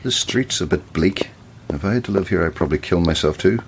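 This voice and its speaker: Wry male sidekick, audio drama. Lowish, animated, grumbling and sardonic. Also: brisk, noisy background. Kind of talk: naturalistic audio drama acting.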